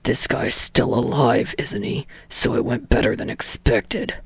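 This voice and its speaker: A woman voicing a gruff, sullen young male celebrity in a fan fiction audiobook, dropping low into a clipped, breathy delivery. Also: big pitch swings, a little slow, no echo, exaggerated voice.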